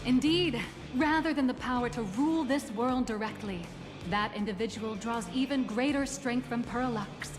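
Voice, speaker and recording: A woman as a poised, high-voiced corporate tour guide. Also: over music, natural voice. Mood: poised, pleased. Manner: formal, composed, measured phrasing. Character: self-assured female corporate tour guide, smooth and secretive. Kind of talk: scripted video game character dialogue.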